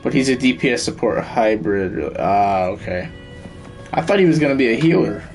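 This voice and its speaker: A man's voice: medium pitch, expressive, moderate pace, darkish tone. A man chatting casually as a game streamer, slangy and laid-back. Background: faint game music.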